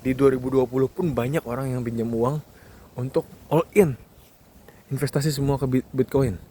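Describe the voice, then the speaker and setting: A man's voice: mid-range voice, fairly even intonation, normal speed, warm tone. A man gives casual, emphatic advice as a vlog host, repeating key words for stress. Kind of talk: casual monologue giving advice to viewers. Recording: clearly noisy recording with ambient background.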